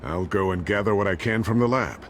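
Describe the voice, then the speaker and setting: An actor playing a loyal, worried tech expert in a video game, speaking earnestly and with measured concern. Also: male voice, lowish voice, slight background noise, close to an everyday voice. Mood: loyal, resolute. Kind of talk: dramatic video game acting.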